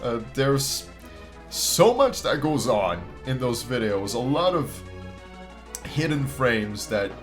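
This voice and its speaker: A man's voice: medium pitch, sing-song, slow, soft background music. A man hosting a reaction video with casual, informal commentary, swearing and repeating phrases for emphasis.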